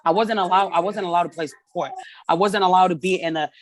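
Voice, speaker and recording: A woman's voice: on the low side, steady tone, fast. A woman from Tonga whose first language is Tongan, speaking candidly as a podcast guest, often stopping and restarting her phrases. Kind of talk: casual conversation.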